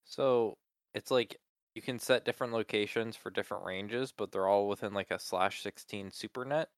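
A man's voice: on the low side, moderate pace, clear, resonant tone, dry recording, studio quality. A man co-hosting a podcast in laid-back chat, explaining tech with lots of filler words. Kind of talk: casual chat.

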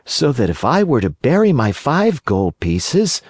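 A storybook reader voicing a naive, excitable wooden puppet boy, full of wonder. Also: medium pitch, unhurried, dry recording, clean studio sound, voice pushed up. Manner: wondering, eager.

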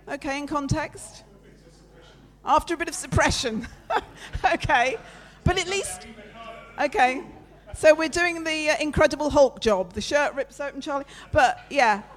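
Woman, preacher, loud voice, chatty, raised pitch, interactive church talk. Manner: loud, lively, chatty with the congregation. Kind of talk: interactive sermon with slides. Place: church meeting room with listeners present.

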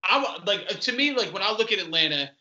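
A man interviews a guest on a podcast. His voice is very bright, sharp and fairly high, and he talks in a loose, profane, rambling way. The audio sounds dull and lo-fi. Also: expressive, fast. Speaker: podcast host. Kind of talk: casual interview chat.

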